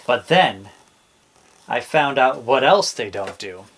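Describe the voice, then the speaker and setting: Slow, very animated male storyteller. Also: mid-range voice, a little hiss or hum. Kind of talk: expressive children's story narration.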